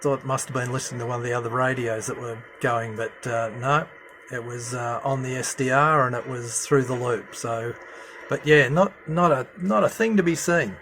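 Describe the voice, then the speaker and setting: A man with a mid-pitched voice presents an informal, hands-on demonstration. He speaks haltingly, pausing often as he works. The handheld indoor recording carries some faint noise. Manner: hesitant, halting delivery with many pauses. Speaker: amateur CB radio hobbyist presenter. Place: indoor room at home with radio gear.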